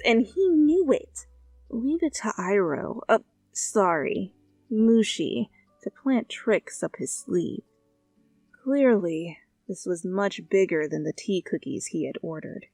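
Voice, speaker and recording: Young woman reading fanfic ASMR, casual and chatty with swearing asides, slow-paced, dry close mic. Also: mid-range voice, sing-song, no room sound. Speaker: fanfic reader. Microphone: close studio mic. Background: soft music.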